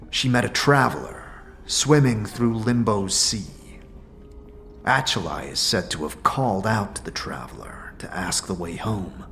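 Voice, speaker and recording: A man's voice: fairly low pitch, fairly bright tone, full character voice. A man playing a grave, stoic otherworldly warrior monk in a fantasy audio drama, speaking solemnly in formal, archaic phrasing.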